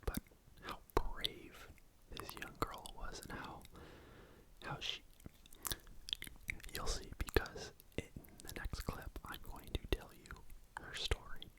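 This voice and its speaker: A person telling a true crime story in a breathy, unvoiced ASMR whisper, with a casual, conversational manner. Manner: casual, conversational retelling with repetition.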